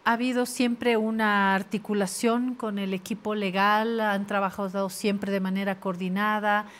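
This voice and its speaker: A woman with a Bolivian accent hosting a news interview, phrasing her questions in a measured, deliberate way. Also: mid-range voice, flat delivery. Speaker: interviewer, host.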